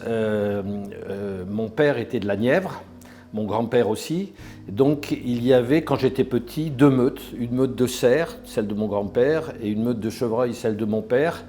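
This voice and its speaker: Older male pack master, slightly Parisian accent, mellow hesitant interview delivery. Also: medium pitch. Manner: hesitant, many fillers and restarts. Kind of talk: documentary interview.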